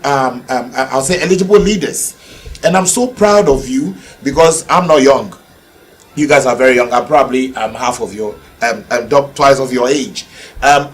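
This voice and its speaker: A middle-aged man from Ghana speaking thoughtfully as a guest on a televised panel about education and leadership. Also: fairly high pitch. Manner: measured, reflective, addresses young panelists. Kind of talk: panel discussion.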